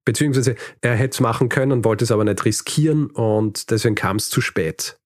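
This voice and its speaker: A man's voice: medium pitch, fairly quick, dry recording. A man hosting a podcast, telling history in a conversational, explanatory way with light dry humour. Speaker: podcast host.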